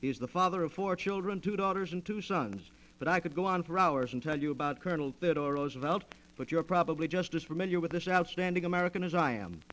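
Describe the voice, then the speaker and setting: A man's voice: a little high, quick pace, hardly any pauses, a bit bright, rough recording. A man hosting a 1940s radio program, making formal, polished introductions on a vintage transcription disc. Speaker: radio host introducing guests. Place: radio studio, around a table.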